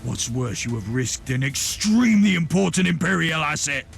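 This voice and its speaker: Haughty inquisitor, gravelly fry, lively intonation, dry, measured, commanding, video game drama. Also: male voice, fairly high pitch, soft background music. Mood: indignant.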